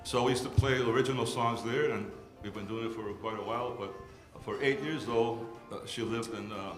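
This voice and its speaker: A man's voice: mid-range voice, fairly quick. An older man from Greece, a guest musician, chatting on a live music show in a rambling, restarting way. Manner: rambling, repeats words and restarts. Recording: roomy venue with music behind.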